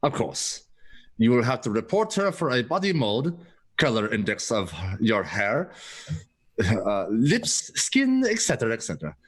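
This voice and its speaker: A man playing a smooth, secretive seller of illicit androids in a radio play, formal and assuring in manner. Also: medium pitch, huge pitch swings, a little slow, heavily acted. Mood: smoothly assuring. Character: smooth, secretive male salesman of illegal duplicate androids.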